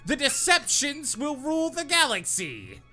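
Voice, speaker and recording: A man voicing a ranting male giant robot villain, shouting and laughing through the lines. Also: very high-pitched, huge pitch swings, a little slow, soft background music, voice pushed up, full character voice. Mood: gloating.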